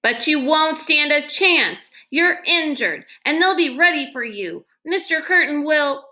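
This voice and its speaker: A woman's voice: high voice, animated, measured pace, sharp tone, pitched above the performer's own voice, exaggerated voice. A woman voicing a distraught, blunt little girl who blurts out scolding objections in a children's storybook. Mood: distraught, accusing. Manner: blurting, accusing. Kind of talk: children's novel read-aloud.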